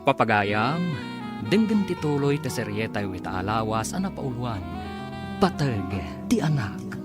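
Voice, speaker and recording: Radio drama narrator, Ilocano accent, expressive dramatic reading, clear music bed. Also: mid-range voice, a little slow. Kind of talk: dramatic scripted recap narration.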